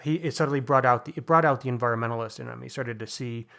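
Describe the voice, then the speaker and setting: A man's voice: mid-range voice, very fast, gravelly, sharp tone. A man co-hosting a podcast, talking through books in a casual, conversational style. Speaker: podcast co-host discussing books. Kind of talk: casual book discussion.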